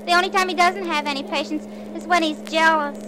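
Very high girlish dim blonde. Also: steady tone, fast talker, a crowd in the background. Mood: chatty, naive. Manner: girlish, naive, prattling. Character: dim, chatty young blonde gangster's girlfriend. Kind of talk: 1950s radio detective drama acting. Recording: noisy old radio recording.

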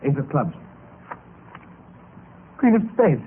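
A man playing a frail, highly strung gambler in an old radio melodrama, with drawn-out, theatrical delivery. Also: sing-song. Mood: tense. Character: frail, terrified male gambler of a suicide club. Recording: muffled, noisy old radio recording.

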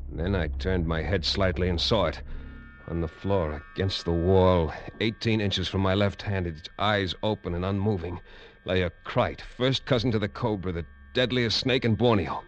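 A man narrating a 1950s adventure radio drama in the first person, with tense, hard-boiled delivery. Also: sits low. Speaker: lead actor and narrator. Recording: dull old radio recording, faint music.

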